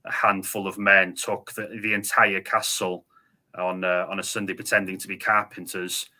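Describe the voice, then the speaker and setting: A man with a North Welsh accent and Welsh as his first language speaks low and steady, his pitch held to a narrow band. His tone is bright and forward despite the depth. He explains things patiently, piling up small fillers as he goes. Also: moderate pace. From Wales. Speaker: podcast guest. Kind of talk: casual podcast conversation.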